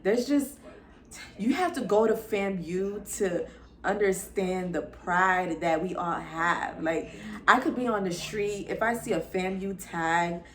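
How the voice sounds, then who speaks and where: A woman's voice: mid-range voice, slow, fairly bright tone. A young woman from Florida, a lifestyle vlogger, chatting casually and upbeat to her viewers as she introduces herself. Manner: chatty, upbeat, addresses viewers as y'all and girl.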